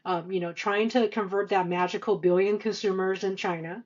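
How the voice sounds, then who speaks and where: Female podcast guest, fifties, hesitant conversational delivery, middle-pitched voice, dull recording. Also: steady tone, darkish tone. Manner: halting, hesitant delivery with frequent stammered repeats and long filler runs. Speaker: podcast guest, senior finance executive. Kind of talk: conversational interview answers, career recollection.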